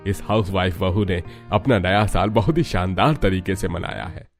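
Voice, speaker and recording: आदमी का निभाया गहरी आवाज़ वाला स्नेही ससुर. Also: male voice, deep voice, normal speed, soft background music. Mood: proud. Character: proud, kindly older father-in-law, rich family patriarch.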